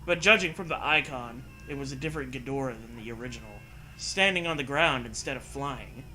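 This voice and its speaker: A man's voice: medium pitch, fairly quick, pushed and bright, faint music behind, low-quality recording. A man co-hosting a show, reading a story aloud in mock-serious style with sarcastic, profane asides.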